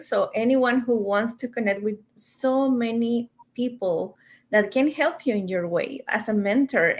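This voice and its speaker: A woman from Mexico speaking English as her second language with a Mexican accent, talking earnestly as an interview guest and sometimes searching for words. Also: fairly high pitch. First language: Spanish. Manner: earnest, searching for words, small grammar slips. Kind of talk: casual interview chat.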